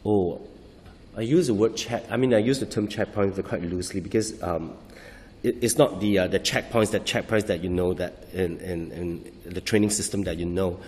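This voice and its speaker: Male actor, low voice, hesitant restarts, long hall echo. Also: a little mellow. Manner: halting, searching for words, frequent restarts. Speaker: stage actor. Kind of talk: interview answers.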